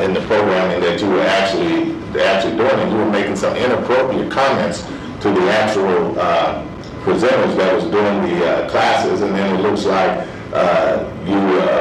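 A man chairing a parole board hearing, speaking unscripted in a procedural, halting way and repeating himself. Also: deep voice. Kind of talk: formal hearing questioning, unscripted. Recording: echoey, distant microphone.

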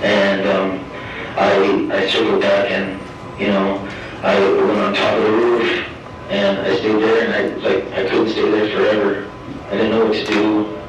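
A man in his forties, an inmate speaking at a parole hearing, halting and soft-spoken, often repeating himself. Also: fairly low pitch, completely flat delivery. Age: 40s. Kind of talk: formal hearing testimony. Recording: echoey distant room with noise.

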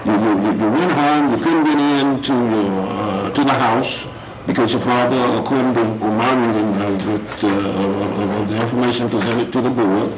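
A man's voice: mid-range voice, normal speed, over loud music. Formal, hesitant man questioning, echoey room. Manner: hesitant, many fillers, formal, keeps saying sir. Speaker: parole board member. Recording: echoey room with loud noise.

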